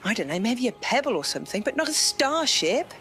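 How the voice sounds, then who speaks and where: A woman's voice: a little high, sing-song, fairly quick, fairly bright tone. A woman playing a young, earnest and doubtful Jedi pupil in a fan audio drama, questioning what she hears. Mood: incredulous. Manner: incredulous, questioning. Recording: music under the voice.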